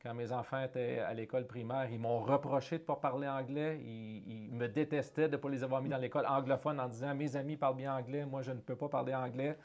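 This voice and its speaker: A middle-aged man with a Canadian French accent talks through an interview in an earnest, conversational way. He often repeats a word or restarts a phrase while looking for the right one, and his voice sits at an ordinary pitch for a man. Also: steady tone, fairly quick, hardly any pauses, darkish tone. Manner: earnest, searching for words with frequent restarts. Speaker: guest, head of a francophone economic development organization.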